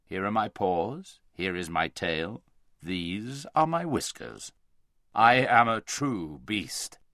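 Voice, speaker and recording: A man voicing a great male lion in a storybook reading, grave, gentle and commanding, speaking slowly and deliberately with weight. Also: low-pitched, big pitch swings, dry recording, studio quality, voice pushed down, exaggerated voice. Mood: stern, commanding.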